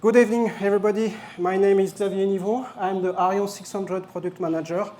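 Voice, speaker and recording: French guy presenting on stage, mellow voice, bit of room hiss, jokes around with lots of uhs. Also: high voice, steady tone, brisk. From France. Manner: joking, hesitant with fillers. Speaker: product manager presenting at a launch event. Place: event venue.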